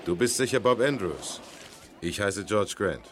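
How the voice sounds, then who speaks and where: A man's voice: on the low side, expressive, unhurried, a bit bright. George Grant, authoritative man, noisy recording. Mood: politely commanding. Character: George Grant, smooth-talking adult man posing as helpful investigator. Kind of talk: 1970s German children's detective audio drama.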